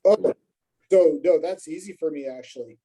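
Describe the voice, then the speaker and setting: A man's voice: a little high, moderate pace, warm tone, husky, poor audio. A man speaking as a podcast guest, telling long rambling stories in a casual, self-deprecating way with many fillers and restarts. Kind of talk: casual conversational storytelling.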